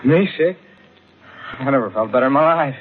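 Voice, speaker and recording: An actor playing a guilt-ridden, nervous murderous thief in an old-time radio crime drama, tense, faltering and dramatic. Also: male voice, medium pitch, lively intonation, normal speed, slightly rough. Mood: edgy, defensive. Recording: tinny vintage radio recording with music.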